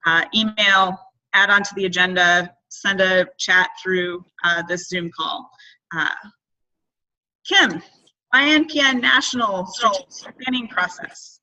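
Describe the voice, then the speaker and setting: A woman leads a group conference call in a bright, projected voice. Her wording is formal and deliberate, with halting phrases as she works through each point. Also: mid-range voice, low-quality recording. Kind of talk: formal organizational meeting talk.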